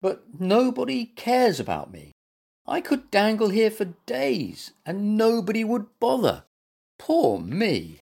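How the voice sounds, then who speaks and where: A woman's voice: mid-range voice, huge pitch swings, takes it slowly, creaky, darkish tone, voice pushed up, heavily acted. A woman voicing a grumpy, self-pitying male character who fumes his way through a children's story. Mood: sulky.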